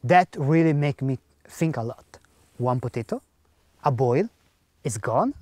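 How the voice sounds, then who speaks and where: A man's voice: mid-range voice, expressive, measured pace, darkish tone, no room sound. A man speaking English as a second language, earnestly telling a personal faith story and acting out the dialogue in simple grammar. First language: not English. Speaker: speaker giving a personal testimony.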